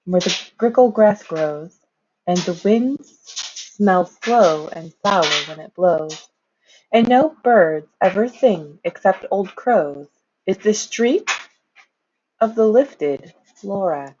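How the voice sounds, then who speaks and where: Female storybook reader, expressive and lively in pitch, taking her time, with dramatic delivery. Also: slow pace, dull audio, a little hiss or hum. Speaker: reader of children's books. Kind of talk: expressive children's storybook read-aloud.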